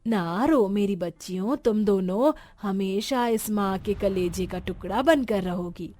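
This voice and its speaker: A woman's voice: medium pitch, a little slow, a little mellow, soft background music, close to an everyday voice. A woman voicing a poor, long-suffering but loving old village mother in a cartoon story, with a plaintive, pleading manner. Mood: tender.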